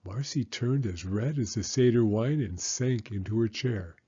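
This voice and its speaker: A man narrating a children's storybook aloud in an animated read-aloud style. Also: lowish voice, a little slow, slightly rough, lo-fi sound.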